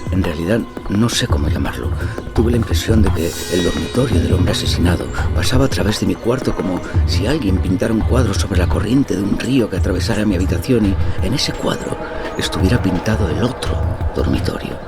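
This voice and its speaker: An actor playing an impressionable gentleman who tells his haunted murder tale in a measured, confiding horror-story manner. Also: sits low, lively intonation, creaky. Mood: unsettled. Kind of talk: dramatized first-person horror narration. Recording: narration over loud background music.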